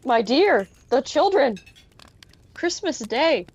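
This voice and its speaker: A teenage woman acting a gentle, loving poor father in a radio play, mild and earnest. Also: high-pitched, big pitch swings, slow pace, breathy edge, lo-fi sound, full character voice. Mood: gently pleading.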